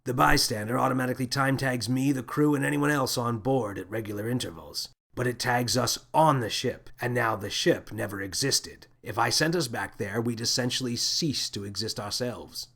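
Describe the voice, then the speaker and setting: A man voicing an adult male spaceship captain in a children's sci-fi story, grave and sorrowful but excitable when alarmed. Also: mid-range voice, moderate pace, gravelly, hardly any pauses. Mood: grave, sad. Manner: dramatic, brooding, blurting when agitated.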